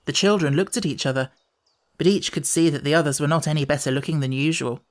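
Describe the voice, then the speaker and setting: A woman's voice: sits low, fast talker. A woman reading scripted narration for a children's audiobook.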